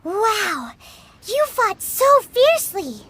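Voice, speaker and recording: A woman voicing a tiny, perky, cheeky childlike fairy companion in an anime video game, squeaky and exclamatory. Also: very animated, slow, background noise, full character voice. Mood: excited. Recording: loud game music behind. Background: game music.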